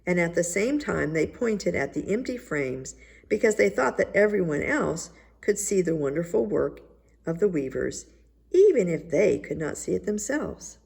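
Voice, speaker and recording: A woman narrates a fairy tale for listeners in an expressive read-aloud style. Her voice sits a little low and swings freely in pitch, with a slightly husky, creaky edge. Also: moderate pace. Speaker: storybook reader.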